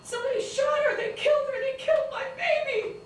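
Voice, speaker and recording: An actress reading the parts of a frantic schoolgirl and a mother whose daughter was shot, at a table read. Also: fast talker. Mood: hysterical. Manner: shrill, panicked outbursts. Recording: echoey room from far off with noise.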